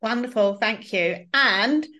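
Lady host, fairly high voice, friendly measured questions on a podcast. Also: bright, forceful tone. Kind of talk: podcast interview.

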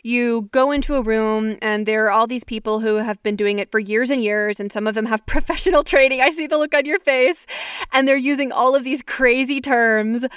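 Female host, slightly high voice, conversational interviewer. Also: fairly bright tone, smooth, no room sound, clean studio sound. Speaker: podcast host.

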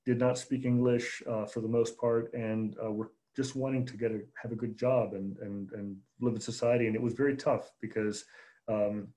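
A man in his forties from Chicago, a journalist answering interview questions in a measured way, with many fillers and restarts. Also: medium pitch, flat delivery, dark tone, poor audio. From Chicago, United States. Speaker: journalist guest.

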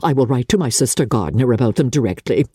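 A woman voicing a giddy, excitable middle-aged mother in a classic-novel audiobook, gushing with fussy, fluttery exclamations. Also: rumbling low, quick pace, husky, no room sound, clean studio sound, full character voice. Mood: bustling, fussy.

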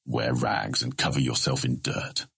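An actor playing a stern, impatient fantasy guildmaster in an audiobook drama, curt and commanding. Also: male voice, a bass voice, fairly even intonation, a little slow, gravelly, a bit bright, breathy edge, full character voice. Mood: demanding, scheming.

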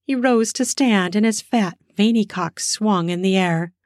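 A woman narrating an erotic story in an unhurried, intimate reading. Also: medium pitch, no room sound, studio quality.

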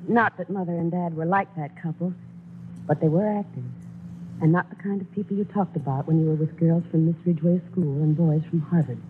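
A woman's voice: lowish voice, steady tone, brisk, a little hiss or hum. A woman narrating a radio drama in a reflective, storytelling manner. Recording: tinny 1940s radio broadcast.

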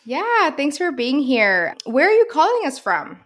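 A woman's voice: high-pitched, sing-song, a little slow. A woman hosting a podcast, talking casually and with enthusiasm over a remote call. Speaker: podcast host.

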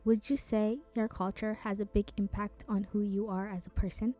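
A young woman host reading prepared interview questions and comments in an even way. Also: medium pitch, moderate pace. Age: young adult. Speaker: youth interviewer. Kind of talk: scripted interview questions and narration.

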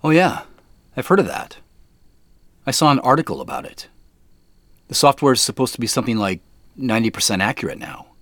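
A man's voice: mid-range voice, sing-song, fairly quick, pauses often, fairly bright tone, no echo. An actor playing a troubled, weary younger brother who tells his story in an understated, reflective way in an audio drama. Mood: subdued, hesitant. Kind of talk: first-person audio drama narration and dialogue.

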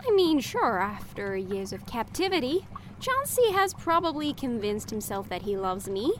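A woman's voice: fairly high pitch, very animated, normal speed, a little hiss or hum. A woman playing an earnest, overthinking, guilt-ridden young cat owner in a comedic audio drama. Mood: earnest, troubled.

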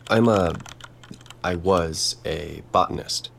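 An actor playing an awkward, lonely botanist in an audio drama, earnest and stammering, with a husky voice and formal wording. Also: deep voice, slow pace, a bit bright, slight background noise, exaggerated voice. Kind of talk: naturalistic audio drama dialogue.

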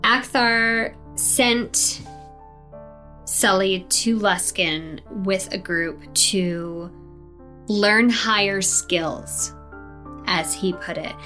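A woman's voice: mid-range voice, pushed and bright, soft background music. A woman telling her character's backstory as a tabletop game player, hesitant with many fillers. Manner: unhurried, hesitant, many ums.

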